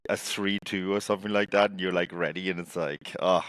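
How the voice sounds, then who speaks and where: A man's voice: low voice, fairly even intonation, fairly quick, fairly bright tone, no echo. A man hosting a football podcast, chatting casually with guests, laughing often and using lots of filler words. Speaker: podcast host.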